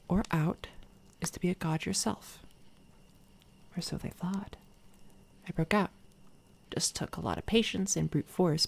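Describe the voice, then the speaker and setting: A woman voicing a smug, menacing villainess doppelganger in a soft-spoken, taunting ASMR roleplay, often slipping into a whisper. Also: sits low, vocal fry, slightly rough, heavily acted. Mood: brooding, bitter. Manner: taunting, condescending, unhurried. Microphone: close binaural microphone.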